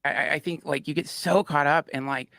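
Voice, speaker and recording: A man in casual, philosophical podcast chat, halting as he searches for words. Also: fairly high pitch, fairly even intonation, vocal fry, pushed and bright, no echo, studio quality.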